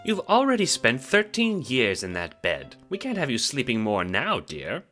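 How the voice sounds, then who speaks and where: A man's voice: mid-range voice, huge pitch swings, normal speed, bright, forceful tone, soft background music, pitched above the performer's own voice. A man voicing a fussy, motherly small alien woman with prim, emphatic, old-fashioned manners in an audio drama. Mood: motherly concern. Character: fussy, motherly small female carapacian attendant, bossy. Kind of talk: audio drama character acting.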